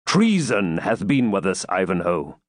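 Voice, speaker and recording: A man's voice: sits low, big pitch swings, a little slow, no echo, studio quality, full character voice. A man voicing a proud, hearty warrior king in a dramatic storybook reading, with grand, commanding, archaic speech. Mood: warm, reassuring.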